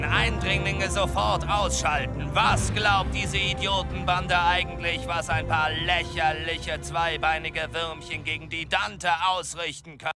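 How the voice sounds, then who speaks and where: High-pitched, arrogant enemy commander. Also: male voice. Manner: haughty, threatening, scornful. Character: arrogant, hot-headed male enemy commander, contemptuous. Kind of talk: video game voice acting, German dub.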